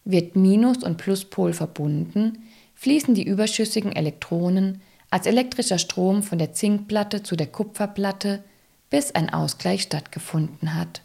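A woman's voice: medium pitch, moderate pace, creaky, mellow, slightly rough. A woman reading scripted, explanatory narration for an educational audio drama.

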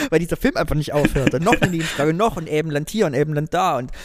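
A man from northern Germany, co-hosting a podcast, chatting in a lively storytelling way. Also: on the high side, fast talker. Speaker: podcast co-host. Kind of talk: casual chat.

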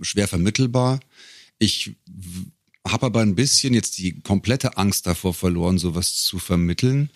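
A middle-aged man with a very low, creaky voice, recorded close and dry. He answers in casual interview talk, feeling his way through broken-off phrases. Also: dry recording. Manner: hesitant, thinking aloud, broken-off phrases. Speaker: podcast guest, professional voice actor.

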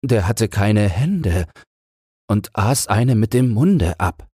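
Male narrator as storybook gardener, lifted pitch, timid, awed, earnest, slightly husky. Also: expressive, slow pace, mellow, no room sound, studio quality, exaggerated voice. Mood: fearful awe. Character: gardener, fearful, reverent servant reporting a ghost.

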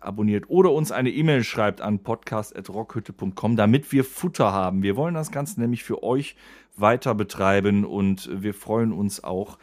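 A man's voice: on the low side, a little mellow, dry recording. A man co-hosting a podcast in a casual, conversational style. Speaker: podcast co-host. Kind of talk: casual chat.

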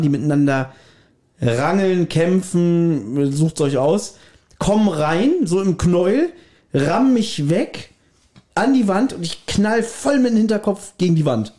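A man's voice: a little high, dark tone. A man around forty chatting casually as a podcast co-host, telling anecdotes in a bantering, colloquial way. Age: 30s or 40s.

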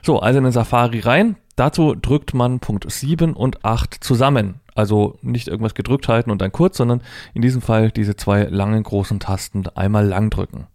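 A man's voice: lowish voice, normal speed, few pauses, a little mellow, dry recording. Man giving a dry, close-miked demonstration. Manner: informal, thinking aloud step by step. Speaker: presenter demonstrating assistive technology. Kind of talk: hands-on product demonstration, explanatory.

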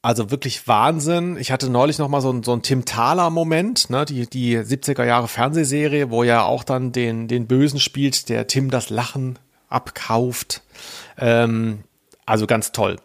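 Man, average pitch, podcast host, informal conversational talk. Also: moderate pace. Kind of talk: casual chat about audio dramas.

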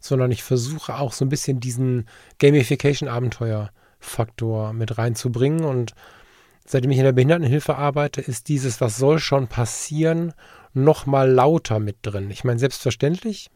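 A man's voice: mid-range voice, warm tone, no echo, studio quality. A man hosting a podcast, telling stories in a reflective, earnest way during a casual conversation. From Germany, Ruhr area region. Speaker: podcast host.

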